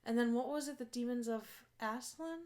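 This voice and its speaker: A woman's voice: fairly high pitch, normal speed, a little mellow. A woman co-hosting a podcast, chiming in with playful, teasing remarks. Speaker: podcast co-host. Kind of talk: casual conversational podcast.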